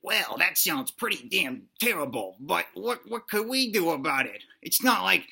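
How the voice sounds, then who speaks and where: Casting: woman as young dinosaur pal, bright natural register, fretful and rhetorical, comic story delivery. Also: fairly low pitch, sing-song, moderate pace, vocal fry, slightly rough, full character voice. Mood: worried. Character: fretful, worldly young dinosaur schoolboy buddy.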